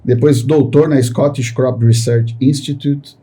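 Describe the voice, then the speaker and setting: A man's voice: medium pitch, steady tone, a little slow, warm tone, breathy edge. A man hosting a podcast, speaking in long rambling sentences that he keeps tagging with filler questions. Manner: rambling, constant "né" tag fillers. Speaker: podcast host. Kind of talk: podcast conversation.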